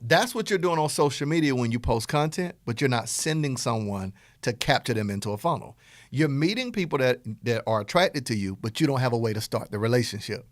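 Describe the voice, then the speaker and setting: Lively Southern man, teaching with humor. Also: mid-range voice, brisk, no room sound, clean studio sound. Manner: animated, playful, acts out dialogue. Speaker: podcast host, business coach. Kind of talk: casual podcast teaching.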